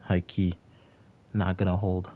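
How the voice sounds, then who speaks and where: A young man of about twenty talks loosely to his livestream chat. He rambles, filling his speech with "like" and starting sentences over. His voice sits very low and comes through thin and phone-like. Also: completely flat delivery, a little slow. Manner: rambling, heavy on "like" and restarts. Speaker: streamer answering chat. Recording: tinny phone-like audio.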